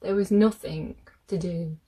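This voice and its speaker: A woman reading a children's picture book aloud, expressive and deliberate, speaking directly to young listeners. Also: lowish voice, slightly rough.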